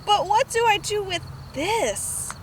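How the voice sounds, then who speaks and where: A childlike, messy boy from a picture book, read by a woman. His voice is high and swings a lot as he questions things in a laid-back way. There is clear outdoor noise on the recording. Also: expressive, slow, full character voice. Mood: puzzled. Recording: noisy outdoor camera recording.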